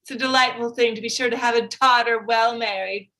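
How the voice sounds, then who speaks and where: A woman's voice: on the high side, steady tone, fairly quick, pushed and bright. A woman playing a fussy, gushing middle-aged mother in a theatrical period drama reading, effusive and chattering.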